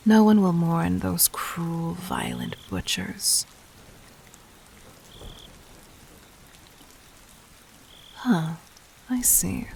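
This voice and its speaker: A woman playing a calculating, commanding demon queen in an audio roleplay, speaking formally and deliberately in a soft voice that often dips toward a whisper. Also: lowish voice, vocal fry, slight background noise. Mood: cold, composed. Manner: measured, regal, formal, deliberate. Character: calculating, commanding demon queen toying with her would-be assassin. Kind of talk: scripted audio roleplay addressed to the listener.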